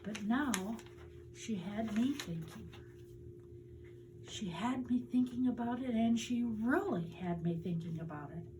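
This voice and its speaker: An older woman, a grandmother, reading a children's storybook aloud in a deliberate, animated storytelling way. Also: on the high side, background noise.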